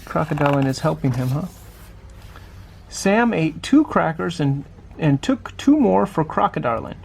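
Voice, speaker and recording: A man's voice: a little high, big pitch swings, a little slow, creaky, a little hiss or hum. A man reading a children's picture book aloud, adding small conversational asides as he goes. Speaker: storybook reader.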